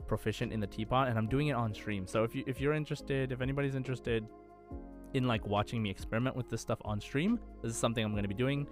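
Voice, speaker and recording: A man's voice: medium pitch, fast, faint music behind. A man co-hosting a gaming podcast in casual, enthusiastic, rambling chat. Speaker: podcast co-host and game streamer.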